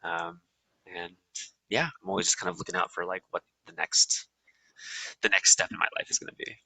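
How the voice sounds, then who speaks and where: A man's voice: sits low, steady tone, brisk, gravelly, sharp tone, slightly rough, rough recording. A young American man from California, talking casually as an interview guest, with many ums and restarts. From USA.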